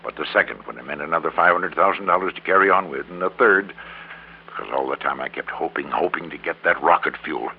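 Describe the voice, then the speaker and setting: A middle-aged schemer at the end of his rope in a vintage radio drama. His very deep, creaky voice halts and repeats itself with resignation. The sound is crackly and narrow, like an old broadcast. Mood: weary, defeated. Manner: halting, resigned, repeats words for emphasis. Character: defeated, greedy middle-aged businessman confessing to murder.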